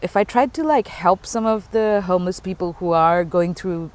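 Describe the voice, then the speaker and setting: A woman's voice: fairly low pitch, moderate pace, a little mellow. A young woman from Bangladesh, a native Bengali speaker, chatting as an interview guest about her small business, explaining things with many fillers. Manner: friendly, explanatory, hesitant with fillers. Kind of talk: casual interview conversation.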